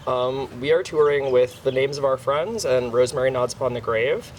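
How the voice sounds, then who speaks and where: A man's voice: medium pitch, moderate pace. Casual male musician amid street noise. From Phoenix, Arizona, United States. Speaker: band member being interviewed. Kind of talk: casual group interview. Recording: noisy urban ambience, passing vehicles.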